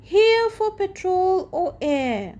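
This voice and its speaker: A woman's voice: very high voice, big pitch swings, slow pace, darkish tone, voice pushed up, heavily acted. A woman voicing a friendly, helpful old male cartoon dog who runs a garage, cheery and eager, in a storybook reading.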